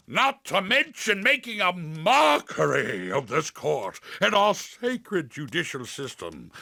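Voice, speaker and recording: A villainous cartoon game judge, high-pitched and gravelly. He orates grandly in slow, florid legal language, scheming and theatrical. The sound is close and dry. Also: sharp tone, no room sound, full character voice.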